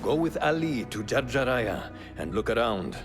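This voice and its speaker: A man's voice: medium pitch, big pitch swings, lightly acted. A man playing a grave, cautious mentor. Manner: measured, grave advice. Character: wise older male mentor, cautious. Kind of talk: video game cutscene acting. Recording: music playing behind.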